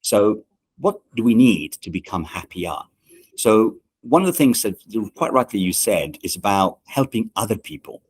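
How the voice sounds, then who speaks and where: A middle-aged man from Italy softened by years in Britain, answering interview questions in a measured way many pauses and fillers. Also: on the low side, very animated, breathy edge. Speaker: management consultant and guest. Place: podcast studio.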